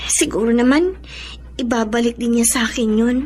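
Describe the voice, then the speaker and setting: A woman's voice: fairly high pitch, moderate pace, a little mellow, slight background noise, lightly acted. An actress playing a meek, hurt young Filipino woman in a radio drama, polite and plaintive. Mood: sad, resigned. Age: young adult.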